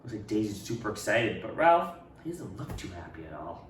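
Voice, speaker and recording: A man reading a picture book aloud to children in an expressive manner, speaking to them directly. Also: on the low side, brisk. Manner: expressive, addresses children directly, acts out dialogue. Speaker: read-aloud storyteller for children. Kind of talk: animated picture-book read-aloud with asides. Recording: roomy echo, distant microphone.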